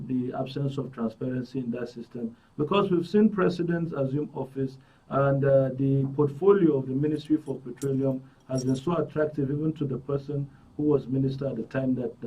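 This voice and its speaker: A man hosting a current affairs interview, measured and formal, pausing as he frames questions. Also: medium pitch. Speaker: programme host. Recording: dull, slightly distant studio sound.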